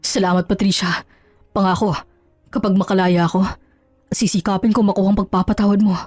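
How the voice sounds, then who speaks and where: A woman voicing an ashamed, remorseful husband in an audio drama, pleading and apologetic with halting words. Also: medium pitch, little pitch movement, a little mellow, no echo, faint music behind, close to an everyday voice. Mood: pleading, dismayed. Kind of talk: Tagalog audio drama acting.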